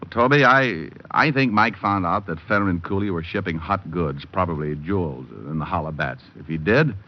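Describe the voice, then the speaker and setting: An actor playing a cocky, wisecracking hardboiled private detective who narrates with deadpan humor in an old-time radio drama. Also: male voice, sits low, normal speed, close to an everyday voice. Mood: measured. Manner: deadpan, flippant wordplay in narration and dialogue. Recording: muffled old radio recording with music.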